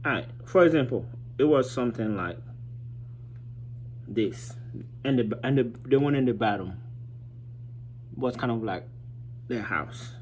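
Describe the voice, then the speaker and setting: A man, telling a personal story informally as a vlogger, halting and reflective as he searches for words. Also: mid-range voice, animated, darkish tone, a little hiss or hum. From Haiti. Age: 30s.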